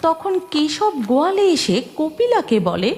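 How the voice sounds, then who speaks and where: A woman's voice: sits high, big pitch swings, a little slow, a little mellow, faint music behind. A woman narrating a scripted children's cartoon story.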